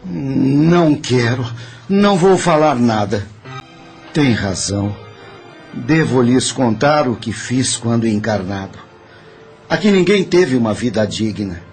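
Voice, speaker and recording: A man's voice: medium pitch, animated, takes it slowly, darkish tone, soft background music. Calculating greedy Brazilian schemer acted by a man. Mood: defiant. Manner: matter-of-fact, calculating. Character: dead greedy scheming nephew, confessing coldly. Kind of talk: audio drama acting.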